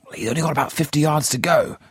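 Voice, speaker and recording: An excitable army captain blurts out a high, breathless, urgent running report from his lookout post, as a male narrator puts him on. His voice keeps a husky grain, and it sits close and dry with no room around it. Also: fast talker, no echo. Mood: excited.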